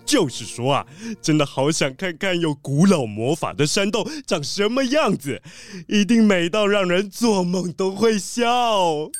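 A man playing a polite, excitable treasure collector in a children's audio drama, with a dramatic storytelling manner. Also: sits high, unhurried, hardly any pauses, faint music behind. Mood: delighted.

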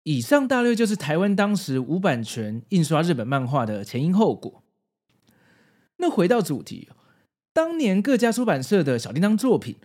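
A man's voice: on the high side, a little mellow. A man in his forties with a Taiwanese Mandarin accent narrates a scripted video essay with casual asides, in an explanatory, nostalgic way. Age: 40s. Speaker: host.

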